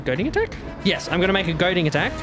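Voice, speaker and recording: A man's voice: sits high, very animated, rapid-fire. A man playing a tabletop game, casually talking through rules and his moves. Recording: music behind the voice. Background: background music.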